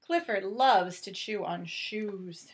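A middle-aged woman reading a picture book aloud to children as a teacher, expressive and deliberate, with drawn-out emphasis. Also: medium pitch. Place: small home bedroom, indoors.

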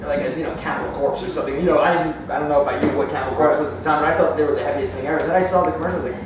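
A man from a band chatting in an interview, halting and repeating words, now and then joking. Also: a little high, steady tone. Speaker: band member. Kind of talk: casual interview chat. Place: backstage lounge of a music venue. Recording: echoey room from far off, noisy.

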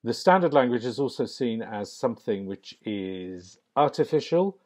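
A man giving a narrated slide lecture in a measured, academic way, pausing with frequent ums. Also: mid-range voice, sing-song, darkish tone. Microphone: voice-over on slides.

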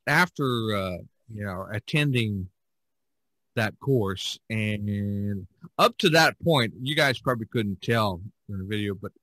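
A man's voice: lowish voice, lively intonation, measured pace, fairly bright tone, no echo, rough recording. A man hosting a casual podcast discussion, telling his story in a rambling, emphatic way. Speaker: podcast host and artist.

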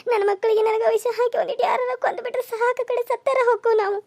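A despairing young widow played by a woman in a tearful family melodrama. Her voice is very high and quick, with a North Karnataka accent, tender and full of self-blame. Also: no room sound. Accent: North Karnataka Kannada.

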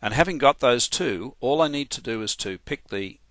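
Halting Australian man, bright dry tone. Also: mid-range voice, expressive, no echo. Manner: casual, halting, many fillers and restarts. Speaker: software trainer. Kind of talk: screen-recorded software tutorial.

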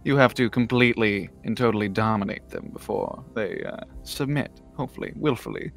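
Creaky, low, smug villain acted by a man. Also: wildly swooping pitch, a little fast, soft background music, exaggerated voice. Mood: sly. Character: sly, smug male villain at evil tea party. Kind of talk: amateur Minecraft roleplay acting.

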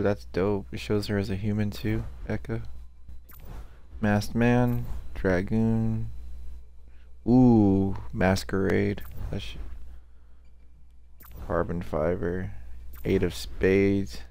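A man's voice: fairly low pitch, level tone, slow pace, pauses often, mellow. A young man giving casual, slangy reaction commentary in short laid-back remarks as a game streamer.

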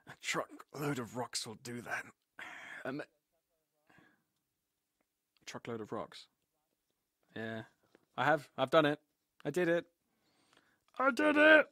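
A man's voice: mid-range voice, slow pace, creaky, pauses often, dry recording. A man joking and testing the mic between takes in a studio voice session. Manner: playful, animated, mic-testing banter. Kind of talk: game voice session outtakes. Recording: dry studio.

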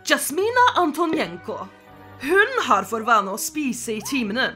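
Kvinne som spiller en streng, skarp hekserektor. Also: female voice, high-pitched, very animated, bright, forceful tone, full character voice. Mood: stern. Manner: formal, authoritative, reproachful. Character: stern, scolding older witch headmistress. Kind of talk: animated cartoon fandub. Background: music.

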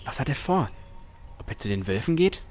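Ein Mann spielt einen vorsichtigen jungen Entdecker, der sein Fantasy-Abenteuer bedacht und ernsthaft in der Ich-Form erzählt. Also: male voice, medium pitch, sing-song, brisk, darkish tone, faint music behind, lightly acted. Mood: wary. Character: cautious, thoughtful young male explorer narrating his adventure. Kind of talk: first-person scripted narration in fantasy audio drama.

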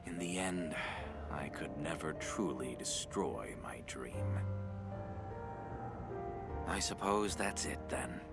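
Deep, slow, brooding game villain. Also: male voice, loud music behind the voice, exaggerated voice. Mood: bitter, resigned. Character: defeated brooding male villain, bitter former developer. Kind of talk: dramatic video game voice acting.

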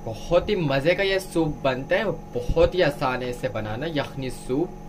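A man's voice: medium pitch, expressive, measured pace, noisy background. A man giving a step-by-step cooking tutorial as a home cook, instructing viewers directly. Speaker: home cook presenting a recipe.

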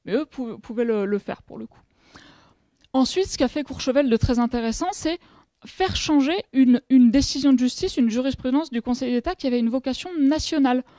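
A woman in her thirties speaks at a panel table in a conference room. Her voice sits fairly high but has a mellow, warm tone. The sound is dry and close, and she explains step by step, often pausing and restarting. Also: no echo. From France. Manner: explanatory, many hesitations and restarts. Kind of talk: conference presentation.

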